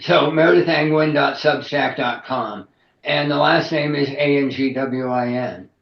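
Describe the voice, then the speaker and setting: A man's voice: on the high side, fairly even intonation, unhurried, reverberant, highs cut off. A man interviewing a guest, asking questions in an informal, colloquial way.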